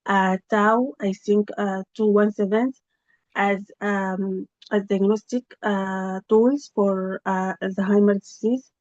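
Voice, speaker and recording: Halting woman on a flat, muffled call. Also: medium pitch, no room sound. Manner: halting, many fillers and restarts. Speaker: researcher giving a project update. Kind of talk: spontaneous meeting report. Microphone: video call. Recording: slightly dull, dry video-call audio.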